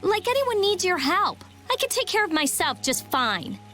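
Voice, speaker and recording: A woman playing a prickly, sharp-tongued teenage girl mage in a video game, blunt and scornful. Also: very high voice, big pitch swings, moderate pace, soft background music, full character voice. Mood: defensive, irritated. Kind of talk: video game dub acting.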